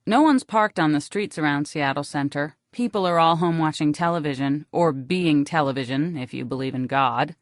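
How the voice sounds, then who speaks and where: A woman with an American accent reads a literary first-person audiobook in a wry, deadpan manner, close on the microphone. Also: low-pitched, normal speed, hardly any pauses, dry recording. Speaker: audiobook narrator.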